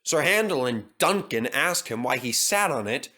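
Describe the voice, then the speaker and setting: A man's voice: mid-range voice, animated, moderate pace, bright, forceful tone. Guy reading a kids story, narrator style, lots of up and down in the voice. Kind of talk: scripted children's story narration.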